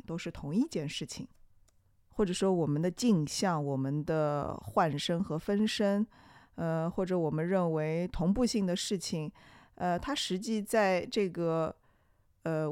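A podcast host delivers a reflective, conversational monologue. The voice sits at a middle pitch in a dry, close recording. The phrasing is hesitant and musing, with pauses to think. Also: a little mellow, no echo.

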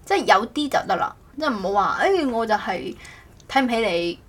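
A woman co-hosts a casual podcast, chatting haltingly and often correcting herself. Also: a little high, expressive, slightly rough. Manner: halting, repeats words, self-correcting. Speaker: podcast host. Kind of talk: casual co-hosted podcast chat.

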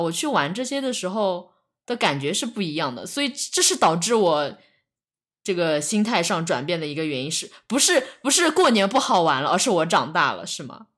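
A young adult woman chatting casually on a podcast in a halting, musing manner. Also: mid-range voice, sing-song, a bit bright. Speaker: podcast speaker.

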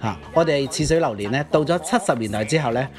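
A man's voice: mid-range voice, lively intonation, fast. A man co-hosts a casual show, chatting with frequent interjections and teacherly asides. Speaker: podcast co-host, radio DJ. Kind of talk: casual chat about old Cantopop. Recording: music under the voice. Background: background music.

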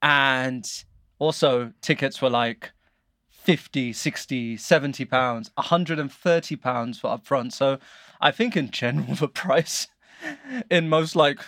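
A man hosts a casual podcast in a mid-pitched voice. He chats in an easygoing way and often laughs lightly. The sound is dry and close. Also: unhurried, fairly bright tone, no echo. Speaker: podcast host.

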